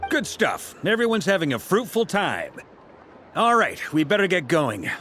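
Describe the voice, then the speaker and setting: Affable, animated young guy. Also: high voice, moderate pace, faint music behind. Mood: easygoing, teasing. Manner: friendly, casual, a bit sheepish. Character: affable, easygoing young man guiding his friends. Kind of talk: video game voice acting.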